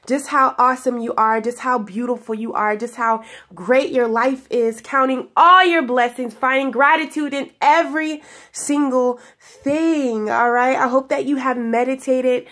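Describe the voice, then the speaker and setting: Young female spiritual vlogger, a bit high, stuffy and congested, giggly and affectionate, slow and drawn out. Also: fairly even intonation, fairly bright tone. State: stuffy from sinus trouble. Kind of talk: casual spiritual talk to viewers. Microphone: camera in front of her.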